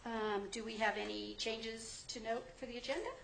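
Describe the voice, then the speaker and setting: A woman chairs a city transportation commission meeting in a formal, procedural way. Her mid-pitched voice carries over a faint hum in the meeting chamber. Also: steady tone, normal speed, gravelly, fairly bright tone.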